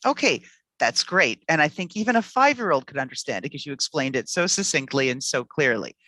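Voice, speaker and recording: A woman's voice: deep voice, very animated, a little fast, fairly bright tone, no room sound. Upbeat woman podcast host. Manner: upbeat, encouraging. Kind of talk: interview host questions.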